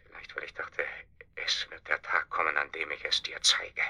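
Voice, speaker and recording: An actor in a German radio drama playing a calculating, self-justifying false friend, speaking in a measured, deliberate way. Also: male voice, very deep voice, monotone, gravelly, sharp tone, slightly rough, in a whisper, full character voice. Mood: confessional, hushed.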